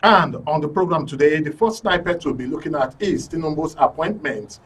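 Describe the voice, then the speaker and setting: A man's voice: a little high, fairly quick, fairly bright tone, slightly rough. A man with a Nigerian accent presenting a live current affairs talk show in an informal, jocular way. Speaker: host of a current affairs radio and TV programme. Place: broadcast studio. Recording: noisy studio, distant mic.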